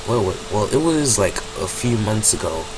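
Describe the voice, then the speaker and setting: A young man with a slightly low voice and a stuffy nose from a cold talks through his gameplay in a loose, rambling way, often correcting himself. His home desk mic picks up a constant loud hum and background noise. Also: very animated, moderate pace. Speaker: gaming channel host. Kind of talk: casual gameplay commentary.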